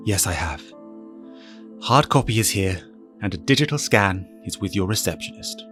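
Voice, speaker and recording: A man's voice: low voice, lively intonation, normal speed, fairly bright tone, soft background music. A man playing a bitter, brooding, wealthy fallen superhero with a sneering, curt manner in an audio drama. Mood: cold resentment.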